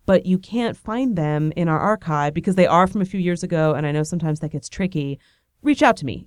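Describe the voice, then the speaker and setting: A woman hosts her podcast in a bubbly, rambling, self-deprecating monologue, speaking with a cold. Her voice sits on the low side yet stays smooth and clear. The recording is dry and close, with no room sound around her. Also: no echo. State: has a cold. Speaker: podcast host. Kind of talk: casual chatty monologue.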